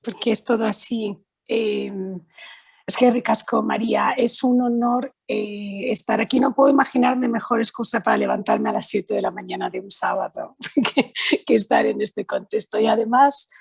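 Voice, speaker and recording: Gravelly, husky middle-aged Spanish woman. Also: medium pitch, darkish tone, no echo. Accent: Spain Spanish. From Valencia, Spain. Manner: hesitant, searching phrasing with self-corrections. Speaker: human rights lawyer, panel speaker. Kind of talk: informal conference talk.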